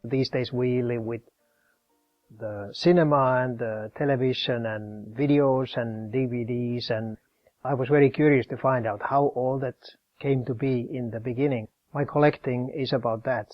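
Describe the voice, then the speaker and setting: A man from Finland, a professor calmly explaining and demonstrating his collection in his office in an interview. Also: medium pitch, a little slow, dull audio, faint music behind. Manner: calm, explanatory, demonstrating objects as he talks.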